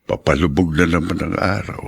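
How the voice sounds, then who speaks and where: An actor playing an affectionate, wistful elderly widowed father in a radio drama, with a husky voice. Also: sits low, steady tone, fairly quick, exaggerated voice. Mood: lonely, wistful. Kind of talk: Tagalog radio drama acting.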